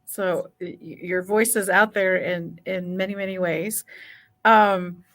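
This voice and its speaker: A woman hosting a casual interview, speaking in an informal, encouraging and somewhat halting way. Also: fairly low pitch, steady tone, a bit bright. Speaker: podcast host.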